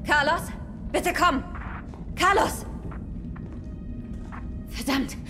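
A woman's voice: high voice, animated, drawn-out words, long reverb tail, over music, full character voice. An actress playing a tough but desperate female survivor in an audio drama.

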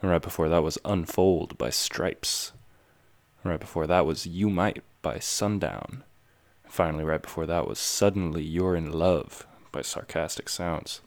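A man hosting a radio music show, introducing songs in a relaxed, rambling way and joking about himself. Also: low voice, unhurried, gravelly. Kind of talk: casual DJ talk between songs.

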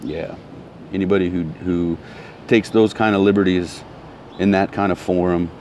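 A man's voice: low voice, fairly even intonation, moderate pace, a little mellow, a little hiss or hum. A man ranting in a casual monologue, blunt and heated, repeating himself, his throat still recovering from soreness. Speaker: vlogger.

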